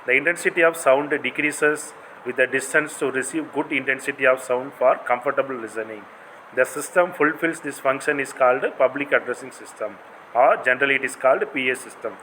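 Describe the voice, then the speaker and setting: A man's voice: mid-range voice, brisk, sharp tone, a little hiss or hum, rough recording. A man with an Indian accent giving a didactic, explanatory lecture as a college teacher, in non-native English phrasing.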